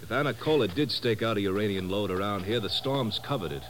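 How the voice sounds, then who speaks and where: An actor playing a blunt, suspicious, plain-spoken pilot in 1950s radio drama style. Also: male voice, fairly low pitch, quick pace, a bit bright, a little hiss or hum, close to an everyday voice. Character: blunt, suspicious American bush pilot, quick with theories.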